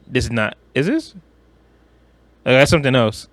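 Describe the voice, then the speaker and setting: A man's voice: medium pitch, big pitch swings, moderate pace, no room sound. A man talking casually about movies as a podcast co-host, in a blunt, slangy way with plenty of swearing.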